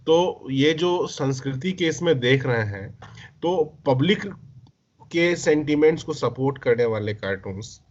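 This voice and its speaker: A man with an Indian accent hosting a discussion, putting long reflective questions in a deliberate way and rephrasing himself as he goes. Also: medium pitch, lively intonation, dull audio. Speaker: interviewer.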